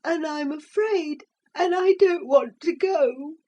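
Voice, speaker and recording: A woman voicing a timid, frightened little boy in a storybook reading, with a high, tearful voice. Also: a little slow, warm tone, muffled recording. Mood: fearful.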